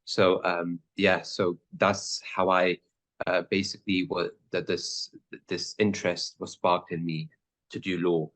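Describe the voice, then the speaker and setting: Deep-voiced man, halting interview answers. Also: little pitch movement, fairly bright tone. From born in Pakistan, raised in the Netherlands. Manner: hesitant, many fillers and restarts. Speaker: lawyer guest.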